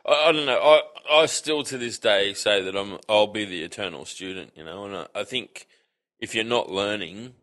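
An Australian man as a podcast guest, talking in a relaxed, rambling way full of fillers. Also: on the low side, moderate pace. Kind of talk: casual chat.

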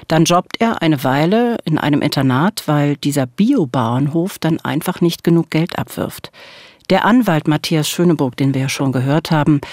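A woman's voice: deep voice, a little mellow, dry recording. A woman co-hosting a true-crime podcast, telling the story at a close studio microphone. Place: small radio studio.

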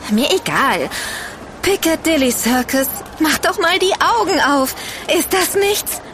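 A high-voiced, impatient young woman. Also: animated, measured pace, a bit bright, slight background noise. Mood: exasperated, impatient. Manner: sassy, impatient. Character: exasperated young woman on a city trip with friends. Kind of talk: naturalistic audio-drama dialogue.

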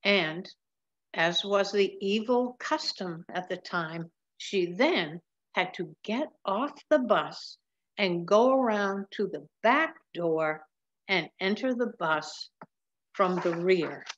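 Slow-paced older woman reading a storybook. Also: medium pitch, expressive. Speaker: storybook reader. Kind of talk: reading a picture book aloud. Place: large wood-ceilinged room at home. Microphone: webcam or laptop mic at desk distance. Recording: slightly dull webcam sound.

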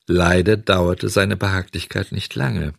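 A deep-voiced man tells a children's story with dramatic expression. His voice is breathy and husky, and the recording is close and dry. He addresses young listeners directly. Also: steady tone, a little slow, no echo. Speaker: audiobook narrator.

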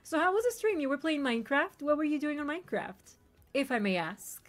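A woman streamer whose first language is not English, chatting excitedly with raiders and telling stories quickly. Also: sits high. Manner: excitable, bubbly, quick storytelling. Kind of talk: casual stream chat.

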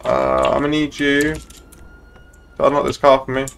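A man's voice: medium pitch, flat delivery, normal speed, creaky, a bit bright, a little hiss or hum. A young British man chatting casually and informally while roleplaying as a moderator in an online game. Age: young adult. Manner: informal, sweary. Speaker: streamer, server moderator.

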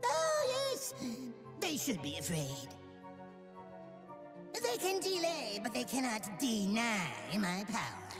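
An actor voicing a tiny pompous dark sorcerer in a video game, gravelly, cartoonish, theatrical and easily flustered. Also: male voice, very high voice, wildly swooping pitch, takes it slowly, long pauses, heavily acted. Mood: menacing, boastful. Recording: game audio with loud music behind.

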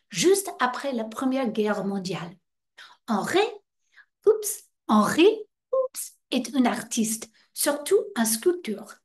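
A woman's voice: on the high side, a little mellow. A woman in her sixties with an American accent, performing a playful monologue as a chatty guide welcoming visitors, with teasing asides. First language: English. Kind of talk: theatrical monologue screen test. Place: indoor stairwell by a wrought-iron railing.

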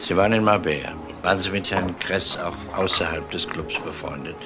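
An actor playing a methodical police inspector in a crime drama, questioning in a deliberate, polite manner. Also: male voice, low-pitched, over music, natural voice. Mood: polite, probing. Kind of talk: German TV crime drama acting.

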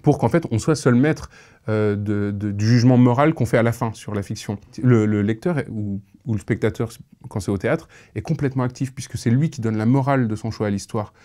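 A man in his thirties speaking reflectively in an interview, halting and thoughtful, on a lapel mic. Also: lowish voice, dark tone. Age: 30s. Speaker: interviewee, audio fiction creator.

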